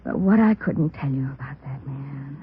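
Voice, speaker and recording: An actress playing a nosy, chatty female neighbour with a raspy, creaky voice in an old radio drama. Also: rumbling low, expressive, moderate pace, slight background noise. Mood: gossipy, indignant. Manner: gossipy, rambling, exclamatory. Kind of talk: 1950s radio drama acting. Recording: tinny old-time radio recording.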